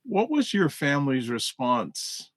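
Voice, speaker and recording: Man, podcast host, mid pitch, dry close sound, halting, restarts questions. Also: very animated, no echo. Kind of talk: casual interview.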